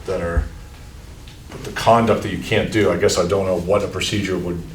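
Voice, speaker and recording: A man's voice: low-pitched, fairly even intonation, moderate pace, a little hiss or hum. A man, the superintendent, thinking aloud at a school board meeting, hesitant and full of restarts. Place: meeting room. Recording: echoey room, distant mic.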